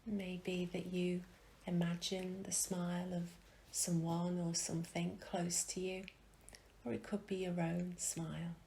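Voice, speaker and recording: Slow, creaky-voiced woman guiding meditation. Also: fairly low pitch, flat delivery, a little hiss or hum, rough recording. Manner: gentle, soothing, measured with long pauses. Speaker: meditation guide. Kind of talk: guided meditation.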